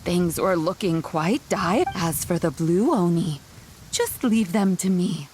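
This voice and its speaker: An actress playing a kindly old grandmother in a video game, speaking in a measured, fond and gently admonishing way. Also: lowish voice, faint music behind. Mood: reassuring. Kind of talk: scripted game character dialogue.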